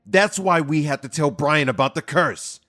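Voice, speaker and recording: A man playing a hot-headed, impulsive fairy guardian in a fan audio drama, arguing forcefully and emphatically. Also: fairly high pitch, lively intonation, normal speed. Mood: angry, defiant.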